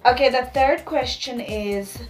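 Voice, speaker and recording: A woman in her twenties with a Namibian accent, chatting casually to viewers in a vlog with animated slangy asides. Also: high voice, unhurried, soft background music, low-quality recording. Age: 20s. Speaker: YouTuber.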